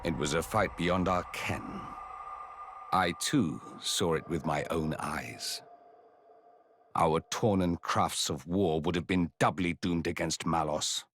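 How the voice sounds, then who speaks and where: A man playing a grave, dignified fantasy king in a video game, speaking deliberately in archaic, poetic phrases. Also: deep voice, a bit bright, a little hiss or hum, exaggerated voice. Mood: grave, reproving. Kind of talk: video game cutscene acting.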